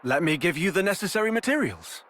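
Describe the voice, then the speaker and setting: A man's voice: high voice, big pitch swings, fast talker, a bit bright. A man voicing a knowledgeable, wry young inventor in a video game cutscene. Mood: wry, explanatory.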